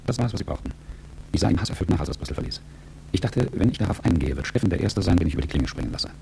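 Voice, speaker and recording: A deep-voiced, gravelly executive narrator. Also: male voice, expressive, rapid-fire, dark tone, slight background noise, poor audio. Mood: reflective, sober. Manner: reflective, articulate storytelling. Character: cool, calculating, world-weary male business executive looking back. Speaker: narrator and lead character. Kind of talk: radio drama first-person narration.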